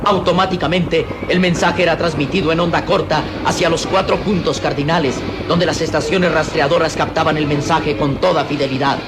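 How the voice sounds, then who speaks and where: A man's voice: on the high side, fairly even intonation, fairly quick. A man narrating a radio serial in a solemn, suspenseful and emphatic dramatic style.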